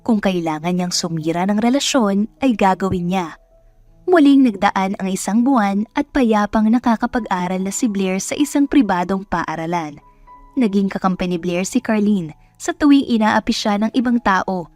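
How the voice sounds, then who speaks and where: A woman's voice: medium pitch, normal speed, darkish tone, soft background music. A woman with a Filipino accent reading scripted dramatic story narration as the narrator.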